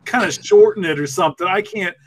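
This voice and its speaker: A man's voice: high voice, quick pace, a little hiss or hum. A man on a podcast panel chatting casually in a jokey, rambling, emphatic way. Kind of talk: casual group chat.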